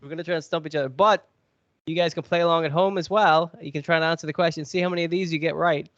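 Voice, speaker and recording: A man's voice: a little high, steady tone, fast talker, fairly bright tone, no room sound, muffled recording. A man with a cold, sniffly, chatting and joking as he hosts a podcast quiz. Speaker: podcast co-host. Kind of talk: casual podcast chat.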